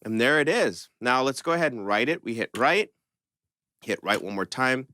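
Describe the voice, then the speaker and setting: A man's voice: mid-range voice, animated, moderate pace, pushed and bright, dry recording, studio quality. A man hosting a synthesizer tutorial, talking in an informal, conversational way as he explains things step by step. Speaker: host of a synth tutorial channel. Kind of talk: casual step-by-step music tutorial.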